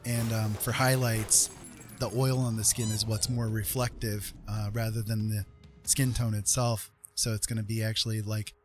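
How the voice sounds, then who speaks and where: A man hosting a casual livestream, speaking earnestly and haltingly with frequent pauses mid-sentence. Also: fairly low pitch, flat delivery. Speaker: livestream host and artist. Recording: music playing behind the voice. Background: music.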